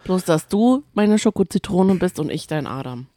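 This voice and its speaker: Woman with a low voice, chatting casually. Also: animated, normal speed, dark tone, dry recording. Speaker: podcast co-host. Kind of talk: casual chat about reality TV.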